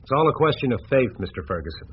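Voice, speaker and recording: An actor playing a confident city-bred deal-maker in a radio drama, speaking in a measured, clipped, assured way. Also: male voice, mid-range voice, very animated, husky. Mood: cool, businesslike. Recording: dull radio recording with background noise.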